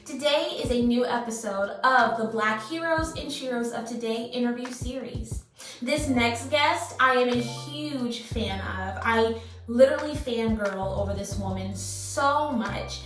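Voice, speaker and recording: Woman host, gushing and enthusiastic, slightly high voice, echoey room with music underneath. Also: measured pace. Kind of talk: talk show introduction.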